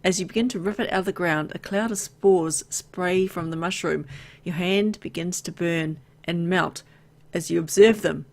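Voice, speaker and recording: A woman's voice: fairly low pitch, moderate pace, few pauses. A woman reading a video game's story aloud, mixed with casual, playful asides as she plays. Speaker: video game let's player.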